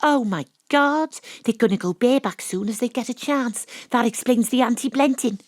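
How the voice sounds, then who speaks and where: A woman's voice: a little high, normal speed, dry recording, clean studio sound, natural voice. A woman playing a brash, cocksure amateur detective in an audio drama, narrating theatrically with a knowing, conspiratorial manner. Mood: scandalised, excited.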